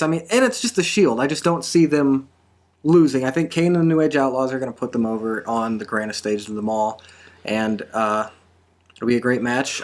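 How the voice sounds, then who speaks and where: Casual male podcast host. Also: medium pitch. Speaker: host of a wrestling predictions podcast. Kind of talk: casual panel discussion.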